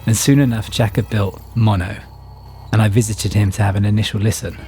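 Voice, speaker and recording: A man with a fairly low voice narrates his own story in the first person. The delivery is scripted, and music plays clearly behind him. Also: steady tone, fairly quick.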